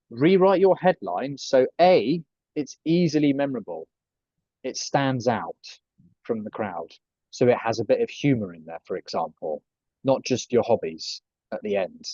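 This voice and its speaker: A man's voice: medium pitch, darkish tone, no room sound, highs cut off. A young man coaching a group on personal branding, chatty and jokey with frequent swearing and asides. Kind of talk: casual group coaching talk.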